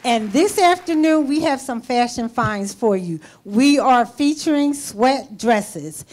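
A woman's voice: a little high, lively intonation, slow, a little mellow. A woman presenting a fashion segment at a busy event with a handheld mic. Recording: live event with music and crowd. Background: music and crowd chatter.

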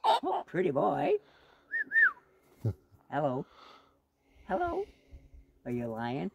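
A man's voice: high voice, wildly swooping pitch, takes it slowly, creaky, darkish tone, lo-fi sound, slight background noise. An older man chatting casually outdoors and talking to his pet, picked up by a camera a little way off. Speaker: bird owner, passing visitor. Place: outdoors at a forest campsite. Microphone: distant handheld camera.

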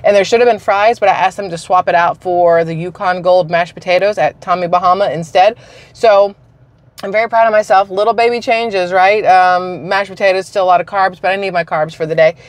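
A woman's voice: lowish voice, steady tone, fairly quick, no echo. A woman in her forties vlogging from inside her parked car, telling a rambling, candid story in casual chat. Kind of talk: casual chatty vlog. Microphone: camera mounted on the dashboard.

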